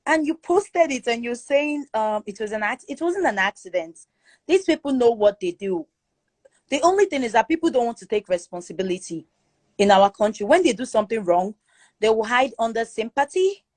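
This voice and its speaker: A woman with a Nigerian accent giving her opinion forcefully in a live chat room, repeating her points for emphasis. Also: on the high side, very animated, moderate pace, no echo. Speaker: guest speaker in a live audio chat room. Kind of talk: opinionated casual commentary.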